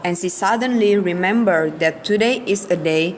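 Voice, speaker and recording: A woman's voice: fairly low pitch, moderate pace, slight background noise. A woman narrating an amateur radio play from a script.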